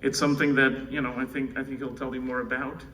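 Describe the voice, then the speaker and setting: A man's voice: medium pitch, little pitch movement, fast, bright, forceful tone. A man from the Czech Republic with a Czech accent, giving an informal introductory speech at a conference. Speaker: conference speaker introducing co-founder. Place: indoor conference room. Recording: distant mic, faint noise, room ambience.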